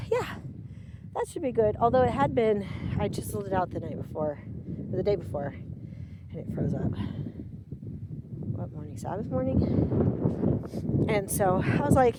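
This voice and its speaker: A woman chatting casually to her camera outdoors on a farm, rambling and self-deprecating as she tells a story. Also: medium pitch. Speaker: farm vlogger. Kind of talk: casual outdoor vlog chat. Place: outdoors on a farm by a frozen pond. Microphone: handheld camera. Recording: loud outdoor noise on camera mic.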